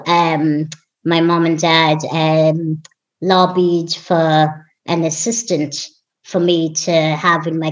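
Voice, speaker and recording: A young woman from Ireland, a guest in an interview, talking in an unhurried, warm way with frequent ums. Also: low voice, dull audio. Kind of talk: casual interview conversation.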